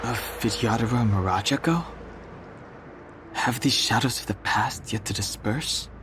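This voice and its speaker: A man's voice: mid-range voice, unhurried, noisy background, natural voice. A man acting a brooding, restrained young exiled warrior in a fantasy game. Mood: troubled. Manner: grave, terse.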